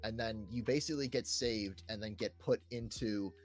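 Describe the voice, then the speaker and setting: A man's voice: on the low side, normal speed. A man co-hosting a gaming podcast, chatting casually and loosely, often tagging phrases with checking words and fillers. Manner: informal, peppers talk with "like", "right", "you know". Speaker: podcast co-host discussing video games. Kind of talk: casual rambling chat. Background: background music.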